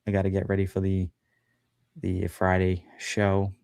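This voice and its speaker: A man's voice: sits low, completely flat delivery, a little slow, vocal fry, darkish tone, dry recording, clean studio sound. A man chatting as a guest on a livestream podcast, talking loosely with lots of fillers and self-deprecating asides. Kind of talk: casual chat on a livestream.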